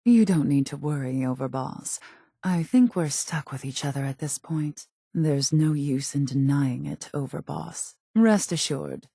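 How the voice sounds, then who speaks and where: Female gang boss, dark low register, husky edge, composed, formal, calculating, quiet menace, video game character. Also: no echo, clean studio sound, full character voice. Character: cold, calculating female raider gang leader.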